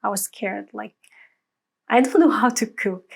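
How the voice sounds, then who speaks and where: A woman's voice: mid-range voice, a little slow, vocal fry, slightly rough. A woman in her thirties from Tunisia, speaking English with an Arabic accent as an interview guest, hesitant and full of fillers, laughing often. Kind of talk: conversational interview.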